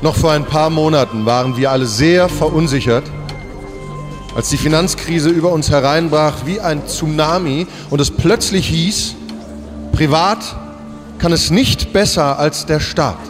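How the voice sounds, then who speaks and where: An actor playing a solemn bank president in a radio drama, giving a stately, formal ceremonial speech. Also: male voice, fairly high pitch, a little slow, over music, natural voice. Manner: oratorical, stately, addresses audience formally.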